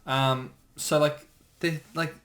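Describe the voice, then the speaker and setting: A man chatting casually as a commentator, thinking aloud in a halting way. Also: a little high, fairly even intonation, a bit bright. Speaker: creator giving episode commentary.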